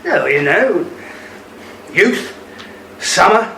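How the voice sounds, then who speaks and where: A man's voice: high-pitched, big pitch swings, extremely slow, pushed and bright, echoey room, distant mic, a little hiss or hum, exaggerated voice, low-quality recording. A man acting a teasing elderly husband in a radio play, with dry, bantering humor. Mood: wry. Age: older. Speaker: actor in audio play.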